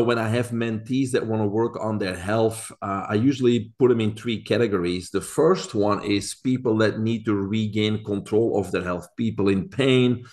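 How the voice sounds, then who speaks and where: A man's voice: on the low side, a little mellow. A man in his forties from Belgium with a Belgian accent, a podcast guest explaining things earnestly with frequent fillers. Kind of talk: casual interview talk.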